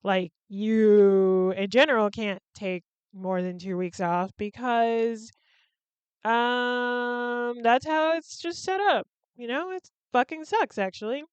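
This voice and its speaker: A woman's voice: fairly high pitch, takes it slowly, clean tone, dry recording. A young woman hosting a solo podcast, chatting in a rambling, candid way with lots of "like" and some swearing. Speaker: podcast host.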